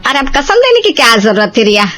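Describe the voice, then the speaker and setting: A woman's voice: sits high, big pitch swings, fast talker, fairly bright tone, faint music behind. A woman voicing a bossy, gullible mother-in-law in a cartoon story, exclamatory and scolding. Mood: exasperated.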